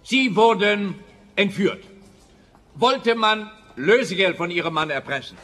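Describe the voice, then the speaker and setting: An actor playing an officious, pedantic, exasperated police officer in a comic radio play, blustering. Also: male voice, high voice, measured pace, a bit bright. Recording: roomy long reverb, faint background noise.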